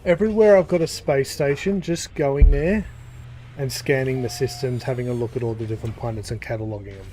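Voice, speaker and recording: A man giving casual commentary as he plays a game, relaxed and thinking aloud. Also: medium pitch, fairly quick, slight background noise. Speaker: gaming YouTuber.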